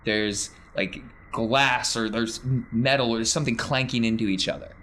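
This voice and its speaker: A man's voice: medium pitch, steady tone, normal speed, bright, forceful tone, slight background noise. A man acting as game master, casually narrating a tabletop game with playful asides. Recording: music behind the voice.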